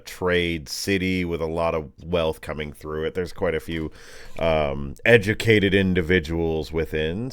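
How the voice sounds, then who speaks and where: A man's voice: sits low, clean tone, no echo. A man running a tabletop roleplaying game as game master, narrating and bantering in an informal, jokey way.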